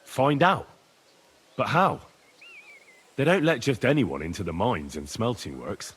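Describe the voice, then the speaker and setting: An earnest young squire in a medieval video game. He has a husky edge and a lively, shifting pitch. He speaks with steady determination. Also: a little slow. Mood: inquisitive. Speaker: game protagonist.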